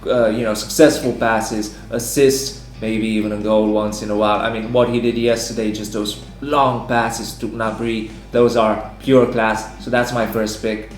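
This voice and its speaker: A man hosting a casual sports chat with a slightly hoarse voice. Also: on the low side, flat delivery, moderate pace, few pauses. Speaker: host. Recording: roomy echo, music underneath.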